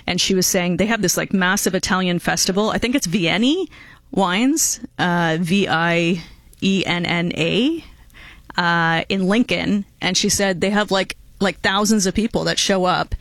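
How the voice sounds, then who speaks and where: A woman's voice: on the low side, fairly bright tone, dry recording. A woman hosting a radio show, chatting casually with a co-host and laughing often. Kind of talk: casual on-air chat.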